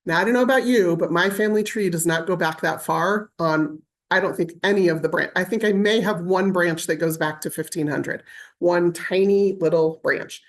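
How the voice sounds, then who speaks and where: A woman's voice: on the low side, moderate pace. A woman presenting an online webinar, explaining things casually with everyday examples, fillers and asides. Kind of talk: conversational online teaching.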